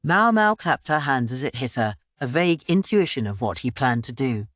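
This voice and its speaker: A woman's voice: rumbling low, big pitch swings, normal speed, dry recording, clean studio sound. A woman reading scripted story narration for an audiobook.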